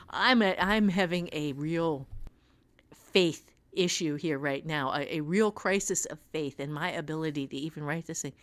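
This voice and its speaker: A woman's voice: deep voice, unhurried, dry recording. A middle-aged woman with an American accent, talking candidly and bluntly as an author and teacher, telling stories in an emphatic, dramatic way. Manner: emphatic, dramatic, blunt, quotes remembered dialogue. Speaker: author and writing teacher, guest. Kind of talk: candid conversational storytelling.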